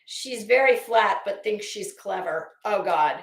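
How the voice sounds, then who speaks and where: A woman hosting a casual livestream rant, blunt, mocking and profane, with halting, emphatic repetition as she chats to viewers. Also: medium pitch, animated, creaky. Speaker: livestream host. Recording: roomy, echoey sound.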